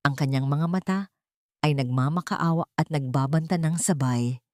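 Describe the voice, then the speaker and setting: A woman reading a dramatic scripted story in a measured, solemn and suspenseful way. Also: low voice, darkish tone, no echo, studio quality. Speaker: audio story narrator.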